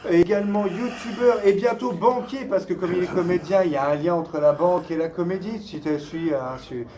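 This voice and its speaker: A man's voice: high voice, brisk. A man hosting a casual interview, chatty and deferential toward his guest, recorded with some ambient noise. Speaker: interviewer, host. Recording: faint background ambient noise.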